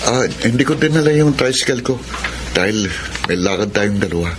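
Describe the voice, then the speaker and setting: An actor with a Filipino accent playing an earnest, devoted tricycle driver secretly in love, in a radio drama. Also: male voice, fairly low pitch, lively intonation, brisk, noisy background, natural voice. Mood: eager, shy. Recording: radio drama with loud music underneath.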